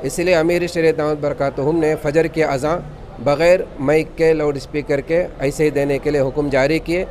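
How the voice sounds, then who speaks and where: A man's voice: on the high side, fairly even intonation, normal speed, darkish tone, slight background noise. A man, an imam making a formal, devout spoken statement to a news camera. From Karnataka, India. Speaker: imam and khatib.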